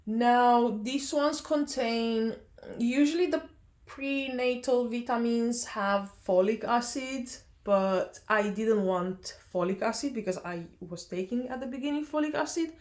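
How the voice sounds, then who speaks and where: A woman's voice: on the high side, unhurried, darkish tone. A young woman from Romania, chatting casually in a vlog, hesitant with many fillers and self-corrections. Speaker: vlogger.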